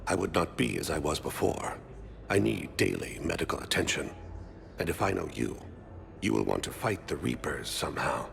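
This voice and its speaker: Deep gravelly serene alien man. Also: heavily acted. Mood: resigned, gracious. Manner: formal, measured, calm phrasing. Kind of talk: video game cutscene dialogue.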